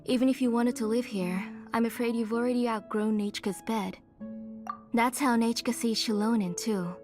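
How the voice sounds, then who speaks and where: An actress playing a soft-spoken, motherly middle-aged woman in a game, with faint music behind. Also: on the high side, steady tone, moderate pace, lightly acted. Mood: tender. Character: gentle tired middle-aged mother, caring aunt.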